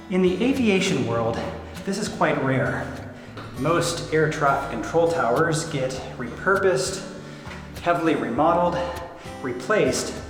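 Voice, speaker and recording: Echoey male tour host, music behind. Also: a little high. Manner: chatty, playful. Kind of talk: presenting a guided tour to camera.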